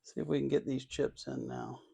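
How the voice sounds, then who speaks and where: A man with a medium-pitched voice and plenty of vocal fry talks through hands-on work as a hobbyist host. His delivery is casual and unhurried. He thinks out loud, often half muttering to himself as he goes. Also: huge pitch swings. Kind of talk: casual hands-on build narration.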